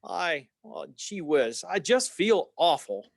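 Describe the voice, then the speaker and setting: A man's voice: on the high side, very animated, slow, gravelly, no room sound, studio quality, full character voice. A man playing an earnest, flustered young small-town family man in an old-time radio play, stammering and using folksy exclamations. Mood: downcast.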